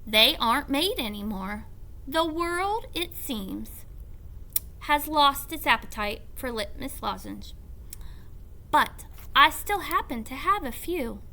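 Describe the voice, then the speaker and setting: A woman reader acts out an elderly lady librarian from a storybook, proud and genteel. The voice is high and slow, with dignified, lively swoops as the tale is told. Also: heavily acted, low-quality recording. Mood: wistful.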